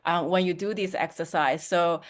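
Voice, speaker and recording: A woman's voice: on the low side, steady tone, moderate pace. A woman whose first language is Mandarin, co-hosting a webinar and speaking in an earnest, thoughtful way. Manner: earnest, thoughtful, with some non-native grammar. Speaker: co-host. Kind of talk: casual webinar chat.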